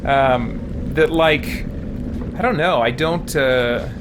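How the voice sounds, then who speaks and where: A man's voice: a little high, slow pace, noisy background. A man doing casual game commentary as a streamer, rambling and thinking aloud. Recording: loud game music behind voice. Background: game music and effects.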